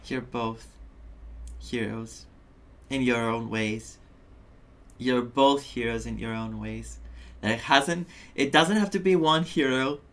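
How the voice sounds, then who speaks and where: A man streaming a video game and commenting casually in a middle-pitched voice. He is reflective and empathetic, often repeating a phrase to underline it. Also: slow pace, a bit bright.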